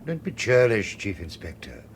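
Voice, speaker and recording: An actor in a radio drama playing a suave, gloating master criminal who speaks with ornate, menacing courtesy. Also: male voice, fairly low pitch, wildly swooping pitch, moderate pace. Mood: silky, courteous. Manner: elaborately courteous, ornate formal phrasing. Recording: faintly noisy radio drama recording.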